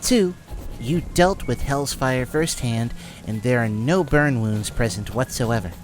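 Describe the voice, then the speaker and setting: A man's voice: mid-range voice, measured pace, soft background music, natural voice. Man doing a sarcastic, brainy tiefling investigator. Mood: analytical. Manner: sarcastic, matter-of-fact.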